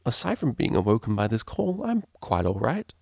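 A man's voice: fairly low pitch, huge pitch swings, moderate pace, gravelly, darkish tone, dry recording, studio quality, exaggerated voice. A man voicing a refined, caring female cellist pony in a storybook, with prim and formal wording. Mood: puzzled.

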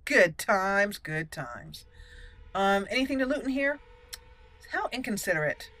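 A woman's voice: medium pitch, very animated, a little slow, fairly bright tone, slight background noise. A woman doing casual, chatty let's play commentary with some roleplay, playful in manner. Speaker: gaming video host.

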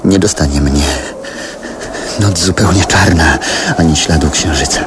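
A man's voice: deep voice, a little slow. An actor playing a hunted, determined man in a radio play, narrating his ordeal and thinking aloud tensely. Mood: grim, reflective. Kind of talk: dramatic first-person radio play narration. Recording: noisy old radio drama recording.

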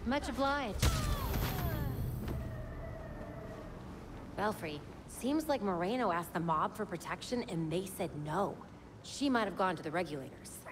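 A woman's voice: mid-range voice, animated, normal speed, long reverb tail, background noise, lightly acted. An actress playing a serious, guarded young woman vigilante detective in a cinematic video game. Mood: firm, businesslike. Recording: loud game music behind the voice.

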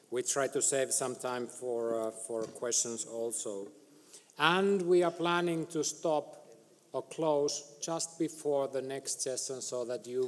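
A middle-aged man chairing a medical conference session, introducing speakers with many hesitant pauses. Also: medium pitch, a little mellow. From Finland. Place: large conference hall stage. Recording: reverberant hall.

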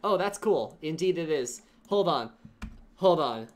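A man's voice: on the high side, expressive, measured pace, a little hiss or hum. A young man streaming a game as a VTuber, chatting casually with his chat and laughing often. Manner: chatty, giggly, talks to chat. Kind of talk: casual gameplay livestream chat.

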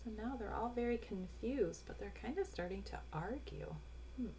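A woman in her forties reading a picture book aloud to young children, pausing to explain in an instructive way. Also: a little high, lively intonation, mellow. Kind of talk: storybook read-aloud with explanatory asides. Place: small room at home. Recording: noisy home webcam recording.

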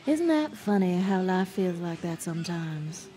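An actress playing a flirty, worldly stranger in a bar for a radio drama, teasing, sly and persistent. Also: female voice, lowish voice, big pitch swings, a little slow, warm tone. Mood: flirty, curious. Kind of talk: live radio drama acting.

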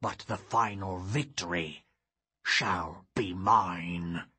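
Man voicing a storybook villain sorcerer, old and bitter, grumbling, slow, very husky. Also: sits low, pushed and bright, pitched below the performer's own voice, heavily acted. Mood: grudging.

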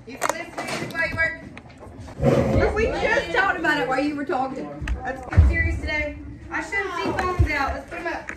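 A woman's voice: very high-pitched, a little fast, long pauses. A woman teacher giving directive instructions to a class. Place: indoor school classroom. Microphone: handheld phone at a distance. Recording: echoey, noisy classroom, distant from mic. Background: loud student chatter and clatter.

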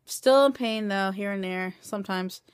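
A woman of twenty talking into a phone camera at home at night, tired and in pain after surgery, rambling with many halting restarts. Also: mid-range voice, clear, resonant tone, dry recording, studio quality. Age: 20s. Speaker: vlogger. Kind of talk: casual video diary. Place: small room indoors at night. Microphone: phone camera held close.

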